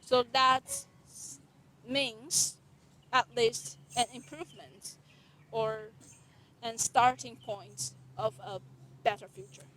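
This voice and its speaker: Earnest young woman, slightly high. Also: sharp tone, rough recording. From Taiwan. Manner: earnest, deliberate, with occasional restarts. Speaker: resident doctor. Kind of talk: answering interview questions.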